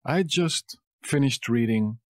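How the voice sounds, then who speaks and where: Slow, dry-voiced Spanish teacher from Spain. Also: male voice, mid-range voice, lively intonation, darkish tone, no room sound. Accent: Castilian Spanish. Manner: says each phrase slowly and repeats it with variants. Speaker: language teacher. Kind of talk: language lesson.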